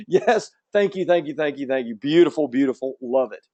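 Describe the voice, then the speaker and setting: A man in his fifties with a slight Southern American accent, hosting a promotional webinar and talking quickly in an upbeat, salesy way. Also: medium pitch, animated, warm tone, muffled recording. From Central Florida. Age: 50s. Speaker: webinar host. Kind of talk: fast promotional webinar presentation.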